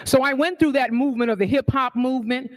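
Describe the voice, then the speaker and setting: A woman's voice: sits high, fairly even intonation, fast talker. A woman in her sixties from New York, giving a passionate public speech at a community forum and asking the audience questions. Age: 60s. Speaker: forum speaker.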